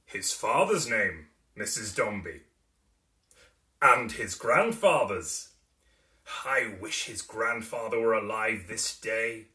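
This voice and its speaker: Man playing a booming, pompous Victorian businessman. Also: animated, pushed and bright, reverberant, distant mic, exaggerated voice. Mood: proud, emphatic. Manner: pompous, grandiose, slow and declamatory. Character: pompous stern middle-aged businessman, a proud new father. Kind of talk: dramatic audiobook reading of Victorian novel.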